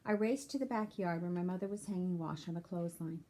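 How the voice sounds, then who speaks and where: A woman's voice: lowish voice, fast, mellow. A woman reading a children's chapter book aloud like a teacher, with simple explanatory asides for young listeners.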